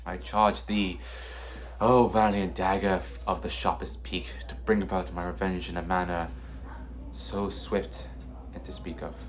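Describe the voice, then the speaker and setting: Guy doing a tormented vengeful shakespeare prince, lowish voice, very declamatory, bit of hiss. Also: level tone, a little slow. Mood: brooding. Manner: melodramatic, declamatory, archaic diction. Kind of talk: theatrical mock-Shakespearean monologue.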